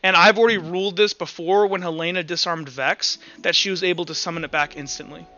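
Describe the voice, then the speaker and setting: A man running a tabletop roleplaying game, improvising his narration in a halting way and repeating words as he thinks aloud. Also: high-pitched, steady tone, pushed and bright, lo-fi sound, faint music behind. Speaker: game master.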